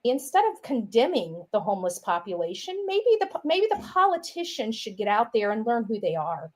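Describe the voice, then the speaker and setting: A woman's voice: a little high, big pitch swings, moderate pace, darkish tone. A woman giving a casual, opinionated monologue, rambling along and often repeating herself with filler phrases. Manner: rambling, repeats herself, says "you know" and "right" often.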